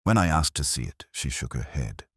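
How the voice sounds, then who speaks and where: Man acting melancholy detective narrator, very low voice, expressive rise and fall, measured, literary, scripted radio mystery. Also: husky, no room sound, clean studio sound, full character voice. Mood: pensive. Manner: measured, literary, brooding delivery.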